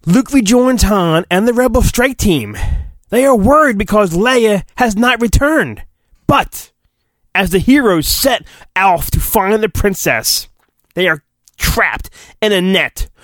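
A man's voice: sits high, animated, takes it slowly, a bit bright, dry recording. A man hosting solo, reading a storybook in mock-dramatic fashion with rambling, sarcastic asides. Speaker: solo podcast host.

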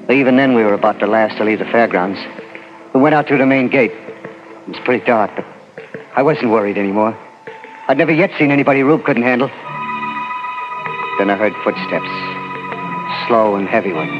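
A man acting in a 1940s radio drama as a frightened, slangy working-class carnival man who recounts his ordeal haltingly. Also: fairly low pitch, soft background music, natural voice. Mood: haunted, subdued. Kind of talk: 1940s radio acting, first-person narration. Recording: muffled old 1940s radio recording.

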